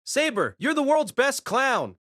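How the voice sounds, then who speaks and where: A man playing an arrogant spirit of a warrior king, with a scornful, theatrical, drawn-out delivery in an audio drama. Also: very high-pitched, big pitch swings, fairly bright tone, no echo, clean studio sound, exaggerated voice. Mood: mocking.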